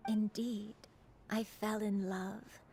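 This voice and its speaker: An actress playing a remorseful adeptus woman who was once a crane, telling her story in a wistful, breathy game performance. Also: medium pitch, flat delivery, takes it slowly, dark tone. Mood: wistful, tender. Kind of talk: scripted game voice acting. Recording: game music behind.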